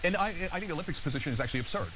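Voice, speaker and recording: A man's voice: on the high side, lively intonation, very fast, noisy background. A man on a political talk show, emphatically disagreeing in a panel debate.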